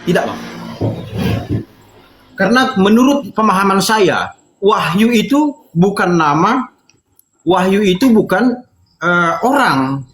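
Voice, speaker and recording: A man's voice: sits high, expressive, takes it slowly, long pauses, fairly bright tone, echoey room, poor audio. A man in an informal religious debate, explaining his points and arguing through illustrations. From North Sumatra, Indonesia. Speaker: debate participant.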